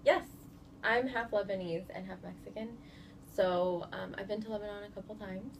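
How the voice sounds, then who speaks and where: Chatty woman with a gravelly voice. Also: mid-range voice, normal speed. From California. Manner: relaxed, chatty, many fillers. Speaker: guest. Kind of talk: casual interview answers. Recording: noisy indoor recording.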